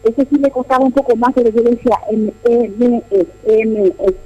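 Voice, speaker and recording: A woman's voice: on the high side, fairly even intonation, brisk, muffled recording, faint music behind. A middle-aged nun answering interview questions in a chatty, explanatory way, often repeating her phrases. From Paraguay. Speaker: nun, missionary at a boarding school.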